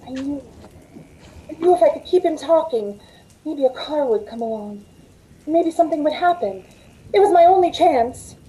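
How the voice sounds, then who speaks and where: An actress playing a frightened but quick-witted waitress, narrating an old-time radio thriller in a hesitant, breathless way. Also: female voice, high-pitched, animated, mellow, a little hiss or hum, poor audio. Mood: tense, hushed narration. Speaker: narrator and lead of the play. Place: indoor room at home, on a video call.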